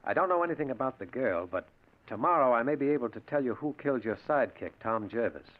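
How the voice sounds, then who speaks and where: An American actor playing a cold, cocky, matter-of-fact gang boss in an old-time radio crime drama. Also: male voice, mid-range voice, expressive, fast, close to an everyday voice. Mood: confidential. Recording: narrow, tinny old radio recording.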